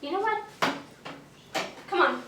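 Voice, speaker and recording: A woman's voice: very high voice, animated, takes it slowly, recorded from a distance, noisy background, exaggerated voice. A woman playing a bossy, exasperated celebrity in a stage play, commanding and emphatic. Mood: exasperated, insistent. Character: bossy, exasperated famous woman, determined to seem harmless. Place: theater stage, distant mic. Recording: echoey stage recorded from afar.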